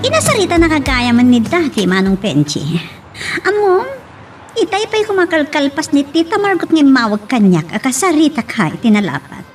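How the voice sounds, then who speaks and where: A woman's voice: sits high, sing-song, normal speed, soft background music. A woman playing a spoiled, scheming young rich woman who taunts and orders in an Ilocano audio drama, with an Ilocano accent. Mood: mocking, teasing. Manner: taunting, imperious. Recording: clear background hiss and noise.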